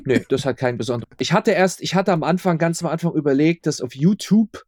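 A man's voice: fairly high pitch, animated, quick pace, a little mellow, no echo, studio quality. A man chatting casually as an interview guest, talkative and often repeating words for emphasis. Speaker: podcast guest, audio drama maker.

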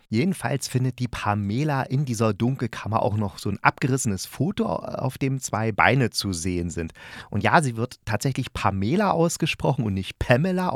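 A man's voice: on the low side, no room sound, clean studio sound. A man hosting a casual spoken review, chatting informally and thinking aloud as he goes. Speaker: podcast host reviewing audio dramas.

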